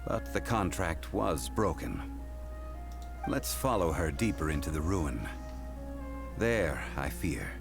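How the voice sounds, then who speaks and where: Deep, slow, solemn man. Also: very animated, gravelly, heavily acted. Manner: measured, formal, solemn. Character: grave, stoic, all-knowing man recounting grim truths. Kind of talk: scripted video game dialogue. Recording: game dialogue under background music.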